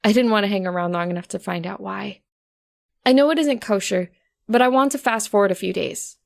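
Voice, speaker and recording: Female story narrator, scripted fiction, expressive, mid-range pitch, creaky. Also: fairly quick.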